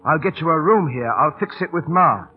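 An actor playing a cheeky, big-hearted London Cockney street entertainer, kindly but bossy, coaxing with repetitive patter in old radio drama style. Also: male voice, fairly high pitch, expressive, brisk, lightly acted. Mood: warm, encouraging. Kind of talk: 1930s-40s radio drama acting. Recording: scratchy, noisy, tinny old radio broadcast.

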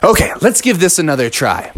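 A storyteller voicing a kindly father frog who talks gently. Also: medium pitch, big pitch swings, brisk, close to an everyday voice. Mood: grateful.

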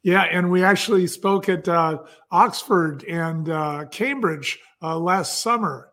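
A man's voice: sits high, slow pace, pushed and bright. A man speaking as an entrepreneur guest, telling stories in an enthusiastic, conversational way.

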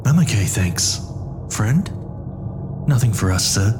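A man's voice: fairly low pitch, very animated, slow, music in the background. A man hosting a story podcast in character, talking casually as if guiding a companion through an audio drama. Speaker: host and guide of a story podcast.

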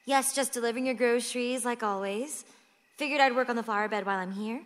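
A woman plays a plucky teenage girl in a radio play, teasing and direct. Her voice is fairly high and rings in a reverberant hall. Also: fast, natural voice. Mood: cautious, friendly.

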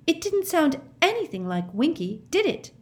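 A woman's voice: high voice, very animated, normal speed, pitched above the performer's own voice. A woman voicing a nervous but determined teenage girl witch who speaks insistently.

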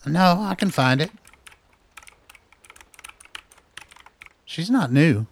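Higher-voiced, weary, stubborn older scientist. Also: male voice, animated, moderate pace, slight background noise. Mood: intent, hushed. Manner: terse, guarded, insistent. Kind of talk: radio drama dialogue.

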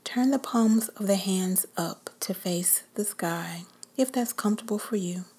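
A woman whispering softly as she leads a guided meditation. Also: medium pitch, slightly rough. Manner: whispered, slow and soothing.

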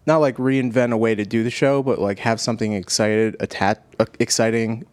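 A man hosting a podcast, chatting casually in an easygoing, halting way. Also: mid-range voice, fairly even intonation, dry recording. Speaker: podcast host, musician.

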